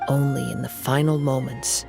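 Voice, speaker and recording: A man acts a calm young swordsman in a video game. He is soft-spoken and thoughtful, with noticeable creak in a medium-pitched voice. Faint music sits behind him. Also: moderate pace, natural voice. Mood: reflective, mournful.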